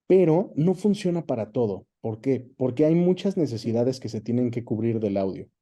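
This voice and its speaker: Very mellow, dark-voiced Mexican man explaining. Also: medium pitch, lively intonation, normal speed. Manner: explanatory, checks in with tag questions. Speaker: interview guest, voice actor and dubbing director. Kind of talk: casual conversational explanation.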